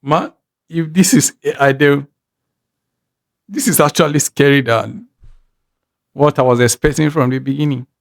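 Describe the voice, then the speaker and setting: A man's voice: on the high side, measured pace, vocal fry, long pauses, no room sound, studio quality. A man with a Nigerian accent hosting a reaction channel, thinking aloud and restarting his sentences. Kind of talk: casual reaction commentary.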